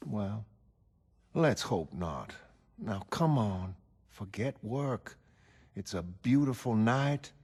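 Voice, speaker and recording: A man's voice: lowish voice, expressive, slow, long pauses, a little mellow, slightly rough, heavily acted. A man voicing an affectionate, coaxing wife in a motion comic. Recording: music behind the voice.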